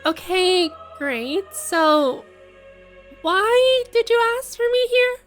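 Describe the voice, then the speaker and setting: A woman's voice: very high-pitched, animated, drawn-out words, soft background music. A woman giving casual, skeptical and sarcastic reaction commentary as she streams a game story.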